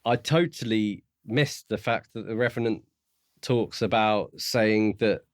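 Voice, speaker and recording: A man co-hosting a podcast in a casual book discussion, talking in a measured, explanatory and courteous way. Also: on the low side, no echo, studio quality. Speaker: podcast co-host.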